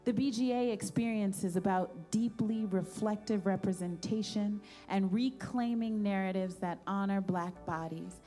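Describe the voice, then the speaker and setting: A woman reading a scripted narration for a video in a measured, earnest way. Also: mid-range voice, fairly even intonation, mellow, faint music behind.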